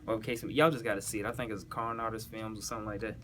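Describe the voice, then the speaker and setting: Southern guy breaking down rap bars, kinda low voice, slangy, keeps checking you follow, bit of noise behind him. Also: steady tone, very fast, a bit bright. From South Carolina, US. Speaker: battle rapper breaking down their own bars. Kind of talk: casual explanatory chat with recited rap bars.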